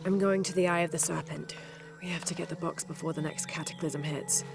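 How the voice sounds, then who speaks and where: A woman's voice: sits low, steady tone, brisk, gravelly, music in the background. An actress playing a determined young woman adventurer, focused and understated. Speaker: explorer.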